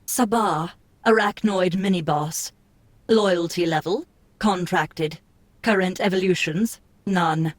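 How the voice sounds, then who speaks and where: A woman with a somewhat low voice reads game menu text for an audiobook. Her delivery is crisp and list-like. The recording is dry and close. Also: slow pace, dry recording. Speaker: co-narrator reading game screens. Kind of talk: scripted audiobook reading.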